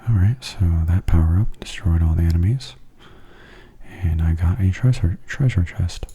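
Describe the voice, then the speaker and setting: A man giving soft-spoken, hushed ASMR commentary on a video game, slipping into whispers, close to the microphone. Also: low-pitched, normal speed, husky.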